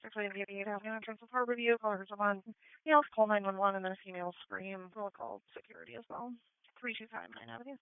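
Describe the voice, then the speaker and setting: A woman working as a police dispatcher, giving brisk replies and calls over a two-way radio. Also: mid-range voice, steady tone, clean tone, no room sound. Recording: tinny narrowband police radio scanner audio.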